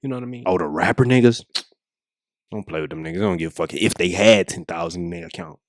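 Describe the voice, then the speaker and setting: A man's voice: sits low, fast, dry recording, clean studio sound. An American man giving casual, unscripted reaction commentary in a slangy style full of swearing. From United States. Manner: slangy, heavy swearing, repeats checking phrases. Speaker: reaction video host.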